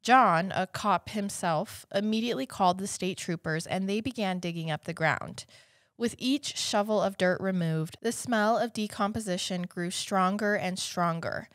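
A young American woman tells a true-crime story as a podcast co-host. Her voice sits at a medium pitch and sounds a little stuffed up from a cold. The sound is close and dry, from a small studio. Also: normal speed, fairly bright tone, dry recording. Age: young adult. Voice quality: slightly congested. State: has a cold. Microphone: close studio mic on boom arm, headphones.

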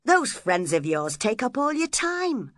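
A woman's voice: on the high side, very animated, unhurried, no echo, clean studio sound, voice pushed up. A woman voicing a resentful, jealous young man in a storybook, with a complaining, emphatic manner. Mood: hurt, reproachful.

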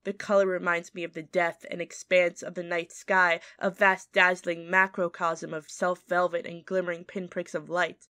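A woman playing an adoring secret admirer, reciting a poetic love letter in measured ASMR roleplay style. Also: fairly low pitch, flat delivery, pushed and bright, no room sound. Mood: tender, adoring.

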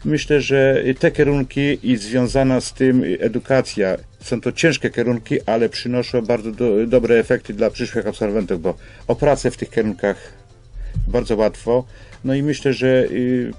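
An older man explains things as a guest in a radio interview, with halting phrasing, on a studio microphone. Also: medium pitch, a little mellow. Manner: halting, hesitant phrasing with repeated starts. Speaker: school representative, radio guest. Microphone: studio microphone on boom arm.

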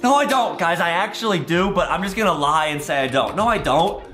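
A man in his twenties hosting excitable gameplay commentary for kids, with exaggerated, theatrical reactions. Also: fairly high pitch, reverberant, soft background music. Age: 20s. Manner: exaggerated, theatrical reactions with shouts and drawn-out words. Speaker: gaming video host. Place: indoor room with bare walls.

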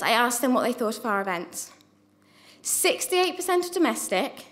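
A young woman student, not from New Zealand, giving an earnest prepared speech from notes in a university lecture theatre into a stand microphone, with a few small restarts. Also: high voice. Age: young adult. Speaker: student presenter.

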